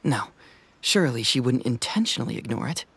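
An actor playing an easygoing young male detective in a video game, speaking in a relaxed, wry way. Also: medium pitch, fairly quick, gravelly, a little hiss or hum. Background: game music.

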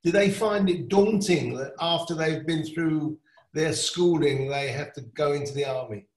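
A man's voice: a little high, moderate pace, roomy sound, poor audio. An older man, a congregant, asking a reflective question on a video call.

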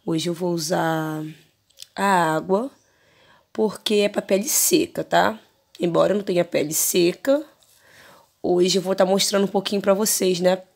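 A Brazilian woman in her thirties, hoarse from an allergy, chatting casually to her viewers from her bedroom with a phone camera close to her face. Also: on the low side, fairly even intonation, unhurried, darkish tone. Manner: chatty, hesitant, many fillers. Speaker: beauty vlogger. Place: bedroom at home, lying on pillows.

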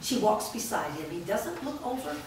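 A woman narrating stage directions in a staged script reading, reading them clearly and evenly. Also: medium pitch, very animated, moderate pace. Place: large indoor studio room. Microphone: far camera. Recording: echoey room from far off, noisy background.

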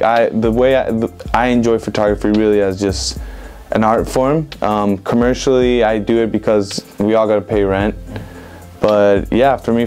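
A man in his twenties from Venezuela talks through his answers indoors. His voice sits low and stays quite flat. He rambles casually, filling pauses with "like" and "um", and soft music plays underneath. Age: 20s. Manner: relaxed, rambling with many fillers like "like" and "um". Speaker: interviewed street photographer. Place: indoor room with bookshelves.

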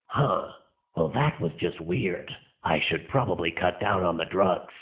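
Haughty young tycoon duelist played by a man, low and husky, sneering, for a cartoon parody; dry, phone-like sound. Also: animated, unhurried, no room sound, exaggerated voice. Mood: deadpan. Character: arrogant rich young male card duelist, scornful. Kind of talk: parody anime dub. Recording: muffled tinny low-fidelity web audio, dry.

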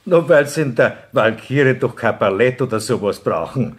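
Actor as wry Austrian police detective. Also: male voice, mid-range voice, animated, normal speed, close to an everyday voice. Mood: objecting. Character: quick to object, wry male police detective. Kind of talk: radio crime drama dialogue.

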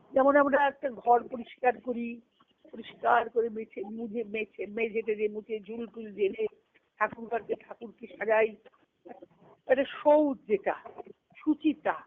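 A woman leading a devotional teaching on a conference call, reflective and explaining step by step, often asking a question and then answering it. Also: a little high. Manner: recites scripture terms in a measured cadence. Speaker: spiritual discourse leader. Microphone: phone on a conference call. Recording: tinny conference-call phone line.

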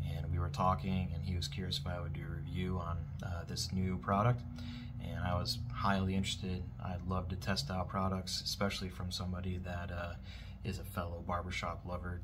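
A man in his thirties reviewing beard products in a relaxed, casual vlog from a dim bedroom, often repeating words of praise. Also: deep voice, fairly quick, noisy background.

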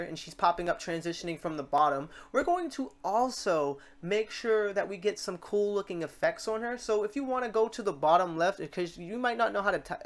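A tutorial host with a mid-range voice walks through software steps in a casual way. The delivery is informal and unscripted, thinking aloud and self-correcting while working. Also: medium pitch. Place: voice-over on a screen recording.

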